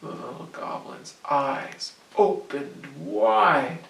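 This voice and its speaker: A storyteller reading a picture book aloud to children in an animated, explanatory way. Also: medium pitch, very slow, vocal fry. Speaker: storyteller, host. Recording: echoey room, distant mic.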